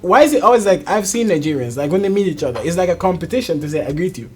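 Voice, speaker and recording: A man's voice: sits high, expressive, racing, darkish tone, soft background music. A man hosting a casual studio interview in a chatty, informal, jokey way. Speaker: show host. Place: music studio.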